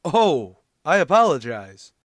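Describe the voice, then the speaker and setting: A man playing a dignified, cultured music critic, formal and deadpan, in an old-time radio sitcom. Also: a little high, slow, no echo, clean studio sound, full character voice. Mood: politely formal.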